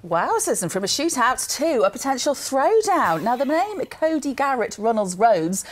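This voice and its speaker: A woman reads the evening headlines as a regional news presenter. Her delivery is bright and upbeat, and her pitch moves in lively swings. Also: moderate pace, a little hiss or hum.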